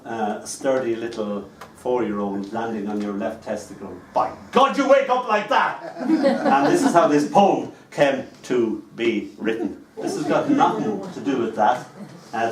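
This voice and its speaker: An Irish man giving a live poetry reading, telling wry, chatty stories between poems. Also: mid-range voice. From Ireland. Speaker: poet. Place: small live performance venue. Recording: echoey room picked up from a distance.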